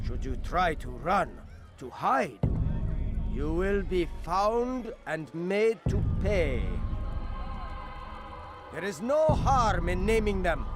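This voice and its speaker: A devout male herald of the law in a video game, his voice pitched high. He preaches slowly and solemnly, full of righteous zeal. Loud music plays under the speech. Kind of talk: oratory in a video game cutscene.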